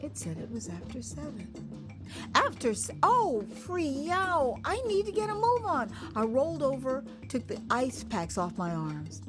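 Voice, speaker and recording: An American woman narrating her own memoir as a dramatized first-person story, conversational and expressive, acting out moments as she goes. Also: high voice, measured pace. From United States. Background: music.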